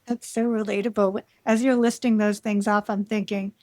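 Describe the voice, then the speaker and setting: A woman hosts a podcast at a middle pitch. She opens with a scripted intro and then interviews her guest, welcoming and encouraging as she talks. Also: level tone, moderate pace, a little mellow, no room sound. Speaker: podcast host.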